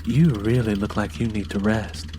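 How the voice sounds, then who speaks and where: A man voicing a weary, plainspoken young pregnant farm wife in an audiobook, with a plaintive, gently challenging manner. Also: on the low side, fairly even intonation, moderate pace, a little hiss or hum, lightly acted. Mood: weary, complaining. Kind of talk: dramatized audiobook character dialogue.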